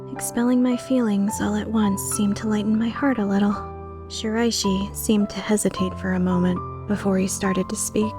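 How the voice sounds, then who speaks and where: A woman playing an earnest, timid, tender-hearted young woman in a dubbed visual novel, speaking in a measured way. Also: on the high side, close to an everyday voice. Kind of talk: dubbed visual novel acting with inner narration.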